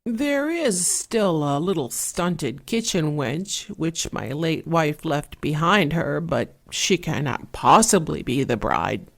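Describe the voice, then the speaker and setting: Woman playing fairy-tale father, disparaging, plain delivery, unhurried, lowish female pitch. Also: lively intonation, creaky, exaggerated voice. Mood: dismissive.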